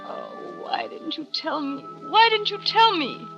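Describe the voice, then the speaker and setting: A timid young wife. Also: female voice, high voice, huge pitch swings, a little slow, gravelly, full character voice. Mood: distraught. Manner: gentle, pleading. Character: young anxious wife, grown woman, timid. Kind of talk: 1940s radio acting. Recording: lo-fi old radio with music.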